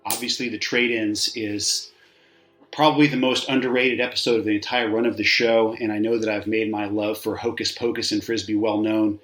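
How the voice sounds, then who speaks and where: A man's voice: on the low side, level tone, moderate pace, fairly bright tone. A man giving casual, off-the-cuff spoken commentary as a listener. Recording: echoey, roomy sound.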